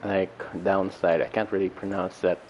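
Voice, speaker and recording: A man's voice: deep voice, flat delivery, normal speed, a little mellow, muffled recording, a little hiss or hum. A man from the Philippines giving casual live commentary as he streams a game. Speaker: game livestreamer.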